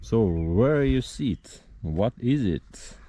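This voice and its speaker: A man's voice: fairly low pitch, lively intonation, extremely slow, warm tone. A man, hosting an interview in broken English and asking pointed questions. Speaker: interviewer and channel host. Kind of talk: casual interview.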